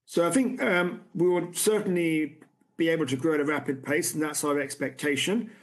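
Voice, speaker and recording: Halting CEO fielding investor questions. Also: male voice, a little high, steady tone. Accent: non-American. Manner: halting, many restarts and fillers. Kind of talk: conference Q&A. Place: room with a city view at night.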